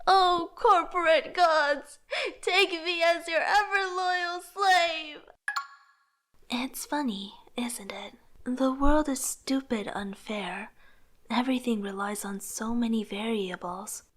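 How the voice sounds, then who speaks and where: A young woman playing an earnest, struggling artist in a motion comic, narrating introspectively in a soft, breathy voice. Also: high-pitched, slow. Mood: frustrated, pleading, then wry, determined. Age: young adult. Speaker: narrating lead character. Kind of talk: scripted first-person narration.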